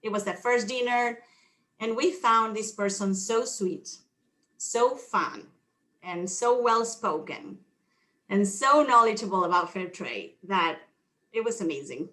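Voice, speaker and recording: Argentine woman, host, intro speech, bright forceful tone, earnest with light nerves. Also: medium pitch, unhurried. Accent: Argentine Spanish. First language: Spanish. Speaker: event host.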